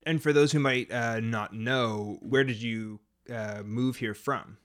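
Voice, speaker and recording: A man's voice: medium pitch, fairly even intonation, takes it slowly. A man hosts a conversational interview. He sounds curious as he asks his questions. He hedges and repeats words while working his way toward each one.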